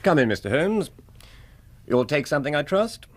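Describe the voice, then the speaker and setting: Haughty, swooping aristocratic English rogue. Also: male voice, a little high, sing-song, normal speed. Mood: urbane, haughty. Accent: upper-class English. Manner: haughty, urbane, theatrical. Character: suave, roguish aristocratic male scoundrel, haughty and brazen. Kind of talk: radio drama acting.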